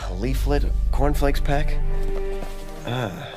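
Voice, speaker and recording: Casting: jittery young man fearing he's a werewolf, mid-pitched and animated, talking to himself, radio drama. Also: takes it slowly. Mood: weary, uneasy. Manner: muttering to himself in short clipped phrases. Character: restless young man anxious about becoming a werewolf. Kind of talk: horror radio drama monologue. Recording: loud music behind the voice.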